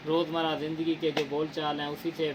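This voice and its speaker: A man's voice: fairly high pitch, monotone, fairly quick, noisy background. A man from Punjab, Pakistan hosting an interview in a casual chat, speaking in an earnest, lecturing way with formal words and many fillers. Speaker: interviewer, channel host.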